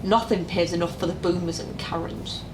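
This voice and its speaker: A woman's voice: lowish voice, fairly even intonation, normal speed, background noise, natural voice. A young woman playing a jaded, sarcastic call-centre worker in her twenties in an audio drama. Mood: wry. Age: young adult. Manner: informal, dry sarcasm. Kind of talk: naturalistic audio drama acting. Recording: roomy, music underneath.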